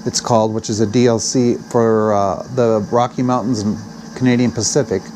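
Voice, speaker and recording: Mellow older man, casual rambling vlogger. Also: lowish voice, fairly even intonation, moderate pace, slight background noise. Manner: folksy, rambling, self-deprecating. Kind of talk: casual chatty daily vlog.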